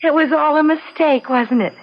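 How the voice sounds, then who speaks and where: A woman's voice: sits high, normal speed, lightly acted. An actress playing an anxious, pleading young American woman, emotional and hesitant, in an old-time radio drama. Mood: uneasy. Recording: muffled vintage radio, loud noise behind.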